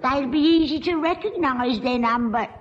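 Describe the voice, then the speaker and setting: A woman doing a shrill, dotty farmer's wife. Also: normal speed, a little hiss or hum, lightly acted. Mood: nagging. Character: scatterbrained, nagging farmer's wife. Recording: dull old radio recording.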